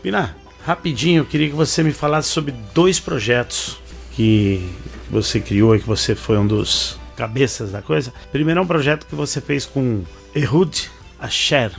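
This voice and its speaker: A Brazilian man hosts an interview, leading his guests with friendly questions. His voice is gravelly, with plenty of vocal fry. Faint music plays in the background. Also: medium pitch, moderate pace. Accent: Brazilian Portuguese. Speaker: host. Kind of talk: interview host.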